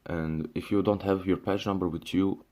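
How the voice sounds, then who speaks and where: A man's voice: low voice, steady tone, moderate pace, a little mellow. A young man from Syria speaking in a casual ranting monologue, restarting often, swearing and calling the listener "bro". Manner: frequent restarts and fillers, swears, says "bro". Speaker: vlogger.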